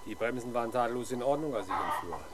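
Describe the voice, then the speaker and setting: A man acting a gruff, lively-voiced police inspector. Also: mid-range voice, fast talker, background noise, lightly acted. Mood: probing. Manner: grumbling, dryly ironic, commanding. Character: gruff, world-weary but kind-hearted middle-aged police inspector. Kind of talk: 1970s German radio crime drama acting.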